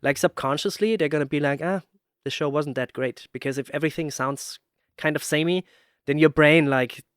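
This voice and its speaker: A man's voice: a little high, brisk, fairly bright tone, smooth, no room sound, studio quality. A man hosting a casual interview, telling his own experiences and acting out his reactions. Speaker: podcast host.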